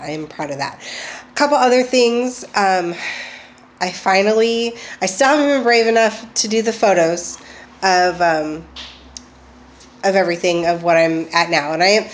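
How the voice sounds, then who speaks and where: Woman vlogger, low-ish voice, halting chatty delivery, recovering from a cold, faint room hiss. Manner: chatty, hesitant, many ums and restarts. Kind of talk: casual personal video diary. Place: at home.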